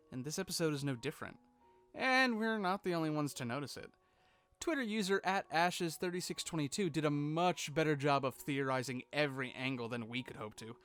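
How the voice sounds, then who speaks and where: A man's voice: on the high side, fairly bright tone. A man co-hosting a scripted commentary, casual and sweary in his asides, with music playing behind him. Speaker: video co-host. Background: music bed.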